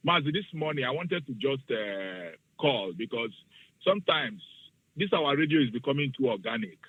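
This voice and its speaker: A man's voice: medium pitch, sing-song, moderate pace, dry recording. A man with a Nigerian accent calling into a radio show, giving a fervent, preachy speech and slipping into Igbo phrases. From southeastern Nigeria. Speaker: radio call-in listener. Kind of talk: impassioned call-in speech. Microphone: phone. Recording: tinny phone line.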